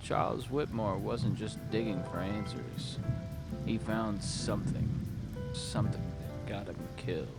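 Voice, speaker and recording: An actor playing a world-weary, hard-boiled private detective, narrating a noir audio drama in a wry, brooding, gravelly voice. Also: male voice, fairly low pitch, steady tone, unhurried. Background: music.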